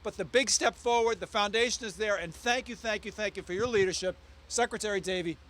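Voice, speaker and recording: A man's voice: sits high, brisk, fairly bright tone. A man speaking as a state senator outdoors, delivering an enthusiastic, emphatic public speech of thanks. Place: outdoor public event in rain.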